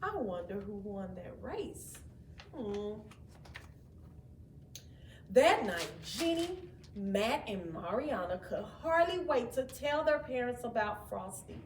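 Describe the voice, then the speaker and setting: A woman reading a children's story aloud in an expressive, dramatic way, with asides to her young listeners. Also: on the high side, takes it slowly, pauses often. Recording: roomy, distant mic, faint background noise.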